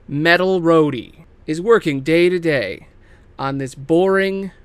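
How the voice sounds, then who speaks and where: A man giving a casual, semi-improvised story pitch as a host, emphatic and theatrical, swearing casually and dropping into offhand asides. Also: slow pace, smooth, dry recording. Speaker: host pitching video game movie adaptations.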